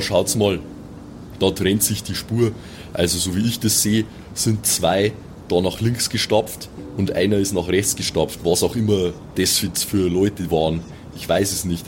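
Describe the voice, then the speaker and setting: A man's voice: sits low, a little mellow, a little hiss or hum. A man with a southern German accent, talking casually and colloquially in an improvised role-play game. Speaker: player in a pen and paper role-play podcast.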